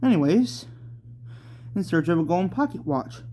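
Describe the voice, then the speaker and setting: A storyteller reading a story aloud in a halting, informal amateur way, with casual asides. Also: mid-range voice, animated, mellow.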